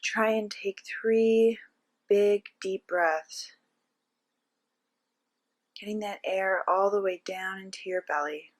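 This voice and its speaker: A woman narrating a guided meditation as a teacher, giving gentle step-by-step instructions with frequent pauses. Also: medium pitch, fairly even intonation.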